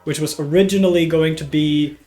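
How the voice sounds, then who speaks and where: A man's voice: a little high, fairly even intonation, brisk, warm tone. A man from Norway with a slight cold chats casually to viewers as a YouTube host, in a hesitant, self-deprecating way. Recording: voice over background guitar music. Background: guitar music.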